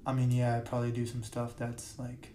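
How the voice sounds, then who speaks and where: A young man with a raspy voice hosts his own podcast. He rambles casually and keeps correcting himself, using plenty of fillers. His delivery stays flat and mellow, and a large room can be heard around him. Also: mid-range voice, a little fast, slight background noise. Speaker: podcast host. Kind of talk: casual solo podcast talk. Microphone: podcast mic.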